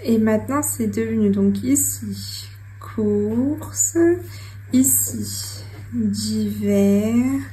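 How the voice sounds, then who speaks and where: A woman's voice: a little high, warm tone, slight background noise. A young woman hosting her budgeting channel, chatting casually to camera with many fillers and small giggles as she goes over her notes at home. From France. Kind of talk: casual talk to camera while writing. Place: home room with her cat.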